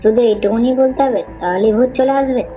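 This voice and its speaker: Woman doing a bold young cartoon boy, talks really fast and confident, music playing behind. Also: a little high, mellow, close to an everyday voice, rough recording. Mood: questioning. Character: bold young boy, goading his timid friend. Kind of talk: cartoon horror story dialogue. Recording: clear music bed behind the voice.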